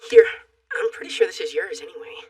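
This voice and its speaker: A woman voicing an insecure, brooding young boy in an emotional animated drama, sullen, blunt and vulnerable. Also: fairly low pitch, fast, distant mic. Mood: awkward.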